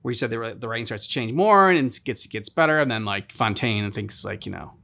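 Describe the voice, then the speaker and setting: A man hosting a game reaction video, chatting casually in a halting, rambling way with many filler words. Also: medium pitch, expressive, vocal fry, bright, forceful tone. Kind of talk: casual chat commentary.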